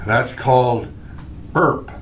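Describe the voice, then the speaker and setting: A man's voice: mid-range voice, slow, a little hiss or hum, lightly acted. A man playing a patient, dry straight-man husband in old-time radio comedy style. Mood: patiently explaining.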